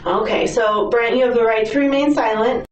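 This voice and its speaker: A woman's voice: a little high, steady tone, normal speed. An American woman working as a police detective, asking questions in a sympathetic way and often checking answers. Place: police interview room. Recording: distant echoey mic, dull audio, faint noise.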